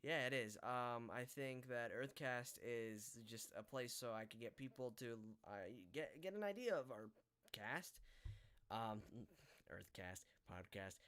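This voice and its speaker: Casual male podcast host, smooth and bright, hesitant rambling delivery. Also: mid-range voice, measured pace. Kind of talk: casual live podcast chat. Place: online voice call.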